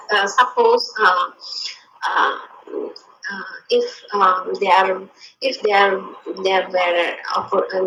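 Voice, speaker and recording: A woman's voice: medium pitch, sharp tone, slight background noise. A woman with a Bangladeshi accent, speaking as a guest in hesitant conversation with many fillers. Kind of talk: unscripted conversation. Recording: echoey room.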